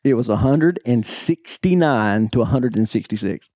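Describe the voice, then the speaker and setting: A man's voice: medium pitch, animated, moderate pace, dark tone, no room sound, studio quality. A man chatting casually, rambling through a story with frequent laughs and restarts. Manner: rambling storyteller, laughs often, coaches accent jokes. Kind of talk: casual chat.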